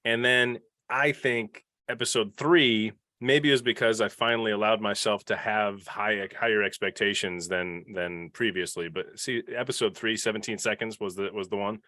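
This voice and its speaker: A man with a fairly low voice hosts a casual podcast panel. He feels his way through sentences, often restarting them. The sound is dry and close. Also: fairly even intonation, moderate pace, hardly any pauses, pushed and bright, no room sound. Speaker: podcast host leading a panel.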